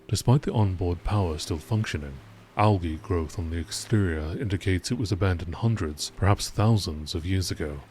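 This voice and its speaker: A man with a fairly low voice delivers scripted narration in the manner of a nature documentary. His reading is measured and informational, and clear background noise sits under the recording. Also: a little mellow. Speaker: narrator reading game lore entries.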